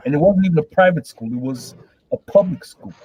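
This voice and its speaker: A man from Nigeria speaking on an online debate panel, measured and a bit hesitant. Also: fairly high pitch, lively intonation, darkish tone, breathy edge, no echo, poor audio.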